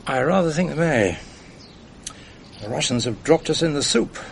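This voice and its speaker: An actor playing a kindly, courteous middle-aged father with a quietly amused manner. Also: on the high side, animated, moderate pace, creaky, slight background noise. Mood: genial. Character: genial, gentle middle-aged English father.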